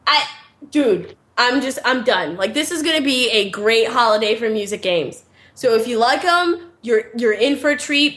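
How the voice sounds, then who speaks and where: High-voiced excitable woman podcast host. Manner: chatty, excitable, swears casually. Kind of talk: casual podcast chat.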